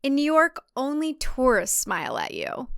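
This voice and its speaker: A woman narrates a comic thriller audiobook in a fairly high, smooth voice. The delivery is scripted storytelling, and the sound is dry and close. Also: wildly swooping pitch, normal speed, fairly bright tone, dry recording.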